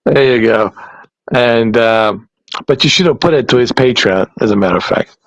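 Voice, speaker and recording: A man's voice: medium pitch, normal speed, no room sound, low-quality recording. A man hosting a casual stream and podcast, laughing often and swearing freely. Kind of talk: casual chat.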